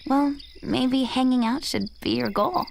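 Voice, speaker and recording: A woman playing a gentle, cheerful young florist in an animated video game, easygoing and dreamy. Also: sits high, unhurried, creaky, a little hiss or hum, exaggerated voice. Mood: content, dreamy.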